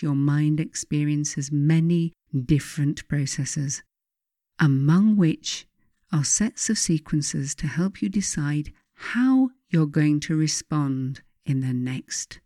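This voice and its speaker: A woman reading her own self-help writing aloud as scripted narration, in a measured, emphatic, instructive manner. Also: low voice, dark tone, no room sound, studio quality.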